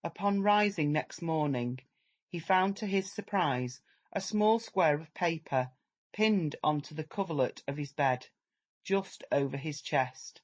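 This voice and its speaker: Measured male audiobook narrator, slightly dull sound. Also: a little high. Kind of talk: scripted literary narration, dramatic reading.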